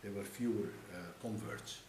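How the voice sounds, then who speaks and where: An elderly man in his seventies speaks with a Flemish accent and a low voice, as a native Dutch speaker. He tells history haltingly, often repeating his phrases. The room is echoey, with some hiss behind him. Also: a little mellow. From Belgium. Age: 70s. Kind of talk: interview, telling personal and church history.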